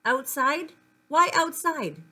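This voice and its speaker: A woman's voice: sits high, very animated, takes it slowly, pushed and bright, voice pushed up, exaggerated voice. Woman playing a curt, no-nonsense female teacher. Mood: brusque. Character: brusque, busy, no-nonsense female schoolteacher.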